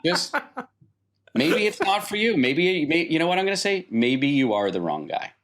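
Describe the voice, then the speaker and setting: A man's voice: a little high, very animated, normal speed, fairly bright tone, pitched above the performer's own voice. A man playing a patient but evasive wandering mystic uncle in a tabletop game, reflective and given to repeating himself. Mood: flustered, reassuring.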